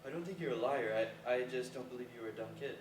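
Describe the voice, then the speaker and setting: Echoey noisy room, actor doing awkward earnest guy in a play, halting and unsure. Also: medium pitch, far from the mic, lightly acted. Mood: earnest, mildly defensive. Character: hesitant, self-conscious, earnest former gifted kid. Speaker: actor in a staged play reading. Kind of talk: naturalistic stage dialogue before a live audience.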